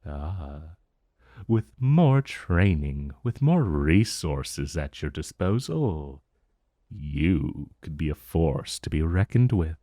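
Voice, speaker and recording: A man plays an ancient male dragon in a fantasy audio roleplay, speaking extremely slowly in a low, mellow, intimate voice. He is possessive and condescending, drawing out each vague threat with a smug purr. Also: big pitch swings, dry recording, clean studio sound, heavily acted. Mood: musing, intrigued. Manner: smug, purring, drawn-out menacing delivery. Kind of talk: intimate ASMR roleplay, slow and deliberate.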